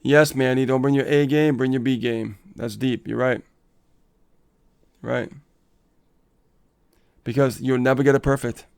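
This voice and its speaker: A man's voice: mid-range voice, steady tone, a little slow, gravelly, long pauses, darkish tone, smooth. A man co-hosting a casual live coaching stream, upbeat and motivational, laughing often and reading viewer comments aloud.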